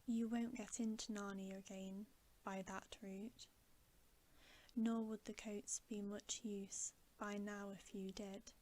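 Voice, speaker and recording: A kindly old professor read by a woman in a hushed ASMR storybook style. The words come slowly and softly, often whispered, with gentle, knowing advice and an even tone. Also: mid-range voice. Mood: gently advising. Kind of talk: ASMR storybook character reading.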